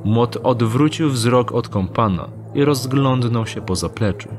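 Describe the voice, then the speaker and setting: A man narrating an audio drama in a steady, descriptive storytelling manner. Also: mid-range voice, a little slow. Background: music.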